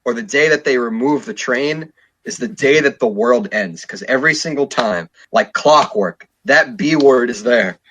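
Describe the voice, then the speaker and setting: Young male podcast co-host, twenties, mid-pitched, loose rambling delivery full of "like". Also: expressive, normal speed, fairly bright tone, rough recording. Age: 20s. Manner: rambling, many fillers and restarts. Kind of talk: casual podcast chat.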